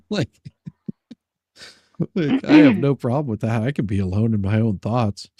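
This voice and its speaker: A man's voice: mid-range voice, big pitch swings, a little slow, warm tone, breathy edge, no room sound, clean studio sound. A man hosting a casual livestream, rambling and repeating his words, with a crude, joking streak. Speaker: livestream host.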